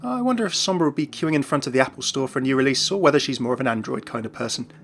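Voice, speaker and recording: A man's voice: medium pitch, animated, very fast, gravelly, a bit bright. A man narrating scripted commentary for an online video.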